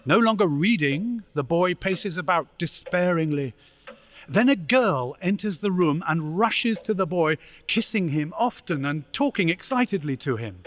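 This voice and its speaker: A man narrating a radio play as a scripted storyteller, in a measured, dramatic manner. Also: high-pitched, expressive, fairly bright tone.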